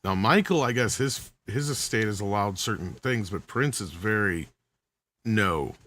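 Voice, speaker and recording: A man with a fairly low voice talks loosely on a podcast panel. He rambles a bit and thinks aloud as he goes. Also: animated, unhurried, a bit bright. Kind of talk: casual panel chat.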